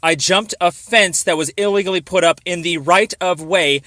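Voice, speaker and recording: Male caller, high bright voice, agitated and rambling, argumentative phone complaint, very dry sound. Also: moderate pace, no room sound. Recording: dry phone call recording.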